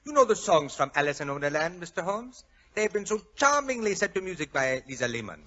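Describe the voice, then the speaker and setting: A man's voice: high voice, sing-song, fast talker, bright, forceful tone, full character voice. An actor playing a fussy, effusive Frenchman with a flowery, slyly menacing politeness and a French accent, in 1940s radio style. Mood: courteous. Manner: flowery, pompous, overly polite. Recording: dull old-time radio recording.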